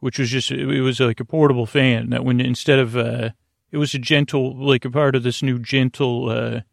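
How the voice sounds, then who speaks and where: A man hosting a podcast in a creaky voice, giving a soothing, meandering bedtime monologue with halting repeats and stretched pauses. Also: medium pitch, flat delivery, no echo, studio quality. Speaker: podcast host.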